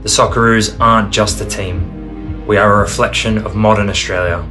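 A man's voice: on the low side, fairly even intonation, moderate pace, over music. A man, a footballer, speaking firm, proud lines in a scripted promo video.

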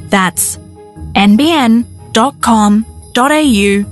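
A woman voicing a scripted radio ad in a clear, informative read. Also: on the high side, takes it slowly, soft background music. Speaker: ad voice-over.

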